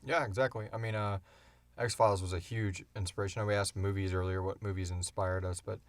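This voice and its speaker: A man co-hosting a podcast, answering questions in casual, informal, halting talk. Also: fairly low pitch, fairly even intonation, dry recording. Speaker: podcast co-host and show creator. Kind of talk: casual Q&A chat.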